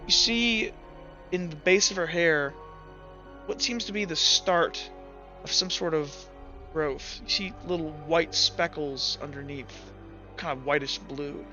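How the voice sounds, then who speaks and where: Male game master, notably high voice, improvised narration and rules chat, slightly muffled with faint music behind. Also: measured pace, sharp tone. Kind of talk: improvised tabletop game narration and table talk.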